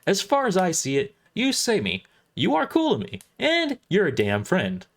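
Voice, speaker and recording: A man's voice: high-pitched, wildly swooping pitch, moderate pace, vocal fry, voice pushed up, exaggerated voice. A man voicing a bold, stubborn and loyal lady fighter in an audio drama, speaking informally and brashly. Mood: defiant.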